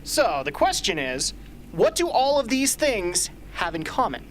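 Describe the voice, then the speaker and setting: A woman playing a brisk, sardonic rebel strategist in an amateur radio drama, speaking emphatically. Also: mid-range voice, huge pitch swings, slight background noise, rough recording. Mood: insistent.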